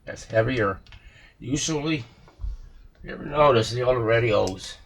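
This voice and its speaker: A man with a husky, slightly rough voice talks at an unhurried pace. He gives casual workbench commentary as a hobby restorer, thinking out loud in halting, unscripted phrases. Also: mid-range voice. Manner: musing aloud, halting, talking to himself and viewers. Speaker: vintage radio restorer showing a repair.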